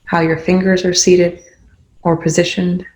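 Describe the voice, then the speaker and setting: A meditation guide leading a guided meditation in gentle, instructional phrases with pauses between them. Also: medium pitch, little pitch movement, poor audio.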